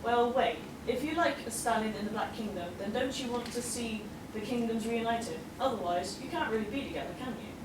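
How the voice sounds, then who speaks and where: A woman's voice: fairly high pitch, fairly quick, hardly any pauses, close to an everyday voice. Woman playing an inquisitive pony, distant and echoey. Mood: probing. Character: earnest, inquisitive young female pony newcomer. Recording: distant, echoey, noisy convention room.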